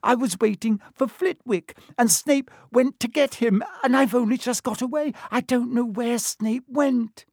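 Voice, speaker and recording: A man voicing an earnest, clever, bossy young schoolgirl in a storybook reading, with a brisk and fretful manner. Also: sits high, big pitch swings, dry recording, clean studio sound, pitched above the performer's own voice, heavily acted. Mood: wailing, flustered.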